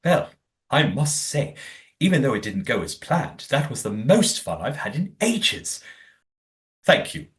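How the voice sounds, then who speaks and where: Audio play role: sweetly naive, genteel old hotel keeper, excitable, very animated delivery. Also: mid-range voice, unhurried. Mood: pleased, prim. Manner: genteel, old-fashioned exclamations.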